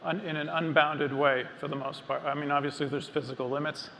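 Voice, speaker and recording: Middle-aged male presenter, measured and explanatory, slightly high, flat delivery, in a reverberant hall. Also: vocal fry, slight background noise. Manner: measured, explanatory, with frequent ums and restarts. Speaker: engineering lead presenting on stage. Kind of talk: technical keynote talk. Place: large dark stage before a seated audience. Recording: long hall reverb, faint ambience.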